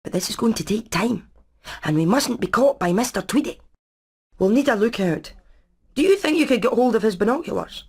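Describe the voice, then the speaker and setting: Methodical engineer hen. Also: female voice, on the high side, expressive, a little fast, a little mellow, husky. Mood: practical, careful. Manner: methodical, matter-of-fact. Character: practical, clever female engineer hen explaining plans. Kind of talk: animated video game voice acting.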